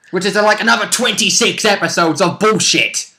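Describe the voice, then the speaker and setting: A man chats casually as a tabletop roleplay player. His voice sits a little high and sounds bright and sharp. He is blunt and jokey, with some swearing. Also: lively intonation, normal speed. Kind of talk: casual chat.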